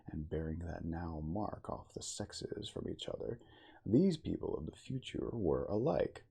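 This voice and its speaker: Dignified male starship captain, played by a man, reading literature ASMR-style. Gravelly, very expressive intonation, deliberate theatrical delivery. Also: low-pitched, exaggerated voice. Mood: pensive, intrigued. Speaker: cosplayer reading aloud as a character. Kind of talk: ASMR audiobook reading of a classic novel.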